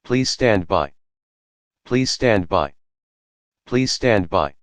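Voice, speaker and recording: A man's voice: low-pitched, lively intonation, extremely slow, a little hiss or hum. A man reading a scripted official alert notification in a formal manner. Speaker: emergency alert announcer.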